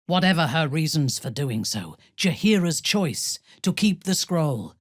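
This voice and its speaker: A woman playing a ruthless, proud female dark elf warrior in a video game, speaking in a haughty, deliberate, menacing way. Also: low voice, big pitch swings, no echo, studio quality, heavily acted. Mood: grave, measured. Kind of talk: video game character dialogue.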